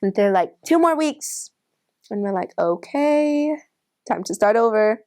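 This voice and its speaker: A woman's voice: fairly high pitch, animated, measured pace, darkish tone. A woman casually recalling her own experiences in an interview. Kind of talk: casual personal recollection.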